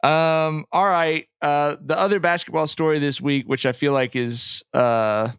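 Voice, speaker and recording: An American man in his late thirties hosting a casual sports podcast, talking in a halting, digressive way. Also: a little high, fairly even intonation, a bit bright, smooth, no room sound, clean studio sound. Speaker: podcast host. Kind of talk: casual sports talk with co-hosts.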